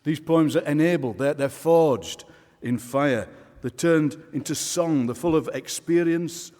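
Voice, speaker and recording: A man presenting a poet in a live speech, speaking emphatically and deliberately, repeating key phrases for effect. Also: on the high side, dark tone. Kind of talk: live speech of praise introducing a reading. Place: on stage in a large concert hall. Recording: reverberant large concert hall.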